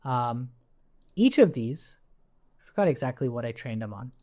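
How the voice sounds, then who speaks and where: A man's voice: medium pitch, big pitch swings, normal speed, mellow. A man giving an informal coding tutorial as an instructor, thinking aloud conversationally and often checking in with the listener. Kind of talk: informal coding tutorial, explaining while demonstrating on screen.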